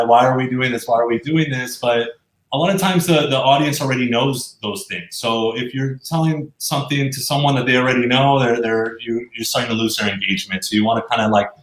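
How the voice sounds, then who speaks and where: A man being interviewed, explaining his work in a casual way, often circling back to rephrase. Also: medium pitch. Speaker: interview guest, explainer video professional. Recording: roomy, echoey sound.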